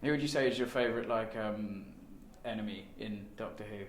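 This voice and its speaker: A man's voice: lowish voice, brisk. A man interviewing someone in a casual, chatty style. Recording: echoey room, faint background noise.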